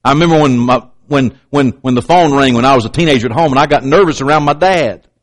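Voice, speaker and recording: A man preaching a sermon with emphatic, repetitive pulpit delivery and pointed asides to the congregation. He has a slight stutter and sometimes catches on a word. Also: fast talker. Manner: slight stutter, clamps up on words; acts out doubters in a raised pitch.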